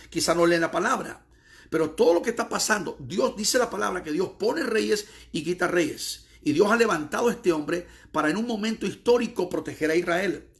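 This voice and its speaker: A man's voice: fairly high pitch, creaky. A middle-aged man from the United States, an evangelist preaching emphatically, repeating phrases and stretching key words. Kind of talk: preaching monologue.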